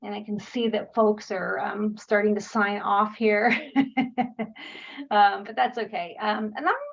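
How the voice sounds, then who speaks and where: A woman's voice: medium pitch, a little slow, gravelly, muffled recording. A woman presenting a workshop over a video call, encouraging and thankful, with frequent "um"s. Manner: warm, encouraging, many "um"s. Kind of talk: online workshop facilitation.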